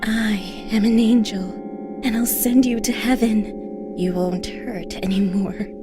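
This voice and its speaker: A woman's voice: medium pitch, fairly even intonation, takes it slowly, over music, close to an everyday voice. A woman voicing a sweetly menacing, unhinged murderous stepmother in a horror animation, with a menacing delivery.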